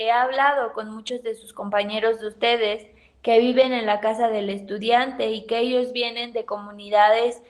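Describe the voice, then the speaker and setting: A Mexican woman teaching a university class in a slow, measured way, often stopping to put questions to the students. Her voice sits a little high, and her pace stays unhurried. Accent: Mexican Spanish. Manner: questioning the class, thoughtful pauses. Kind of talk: university pedagogy lecture.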